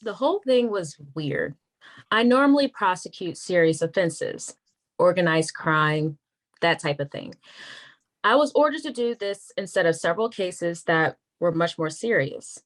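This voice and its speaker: A woman playing a wary, frank government attorney in a naturalistic script read, measured and confiding. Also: lowish voice, expressive. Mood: troubled, frank.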